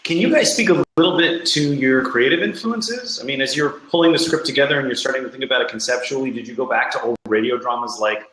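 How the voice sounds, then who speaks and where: A man's voice: medium pitch, quick pace, hardly any pauses, fairly bright tone. Male co-host in an echoey room. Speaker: podcast co-host, interviewer. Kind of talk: casual interview questioning.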